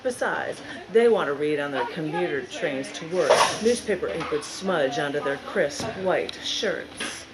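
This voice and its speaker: A woman with a fairly low voice reads her poetry to a live gathering. Her delivery is deliberate and dramatic, lingering on key words for emphasis. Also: animated, very noisy. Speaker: poet reading own work. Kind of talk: live spoken-word poetry reading. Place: live reading in a large bookstore.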